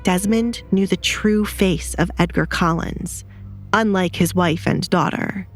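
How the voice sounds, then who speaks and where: Low-voiced woman narrating over music. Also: unhurried. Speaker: audiobook narrator. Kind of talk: scripted dramatic fiction narration.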